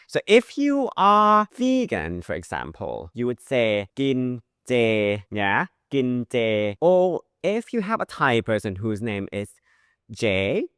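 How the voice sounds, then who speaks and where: A man teaching a language lesson who says sounds slowly and repeats them as examples. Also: mid-range voice, sing-song, a bit bright, smooth, dry recording, clean studio sound. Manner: modeling pronunciation with drawn-out sounds.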